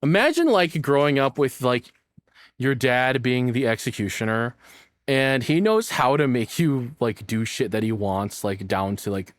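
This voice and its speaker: A man's voice: mid-range voice, lively intonation, unhurried, no echo. Male reaction streamer, mid-range voice, unscripted and rambling, foul-mouthed joking, very dry sound. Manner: rambling, sweary, jokey. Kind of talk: casual off-the-cuff reaction commentary.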